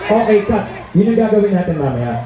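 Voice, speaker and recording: A man's voice: high-pitched, very animated, fairly quick. A Filipino man, a band frontman, bantering playfully with a concert audience between songs and hyping them up through a stage microphone. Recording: echoey live concert PA, crowd noise.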